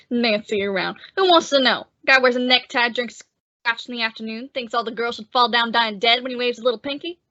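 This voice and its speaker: A sneering, belligerent bar henchman played by a woman, high and sharp-edged in voice. He needles with sarcasm in old radio crime style, recorded in slightly muffled sound. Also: fairly quick, dry recording. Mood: mocking. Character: cocky, belligerent male barroom tough guy, sneering henchman. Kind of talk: old-time radio detective drama acting.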